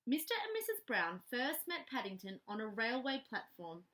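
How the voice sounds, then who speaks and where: A woman reading a children's story aloud in an expressive, animated way, pausing to put questions to young listeners. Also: a little high.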